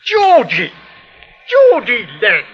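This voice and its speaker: An actor playing an older, falsely jovial and crooked uncle with a London accent and an effusive, wheedling manner, in 1940s radio drama style. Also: male voice, extremely high pitch, wildly swooping pitch, takes it slowly, full character voice. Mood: effusive welcome. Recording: muffled, very noisy vintage radio recording.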